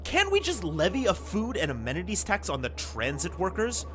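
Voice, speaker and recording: A petulant city commissioner who leaps wildly in pitch as he whines and needles. He sounds childish and indignant in a comic audio drama, with music clearly behind him. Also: wildly swooping pitch, normal speed, a bit bright, exaggerated voice. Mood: whiny. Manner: childish, indignant, sarcastic bickering. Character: petulant, whiny male public safety commissioner, squabbling executive. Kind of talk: comedic audio drama acting.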